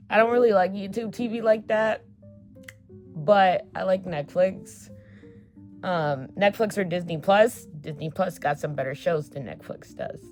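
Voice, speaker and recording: A young woman in her twenties talks straight to her camera in a relaxed get-to-know-me vlog. Her voice sits low and she takes her time, chatty and filler-heavy with casual swearing. Faint music plays underneath. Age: 20s. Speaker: vlogger.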